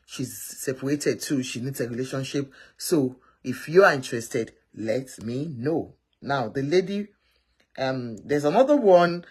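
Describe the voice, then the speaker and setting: A woman's voice: a bass voice, animated, measured pace, a little mellow, slightly rough. A woman hosting a casual live matchmaking stream, rambling and often correcting herself.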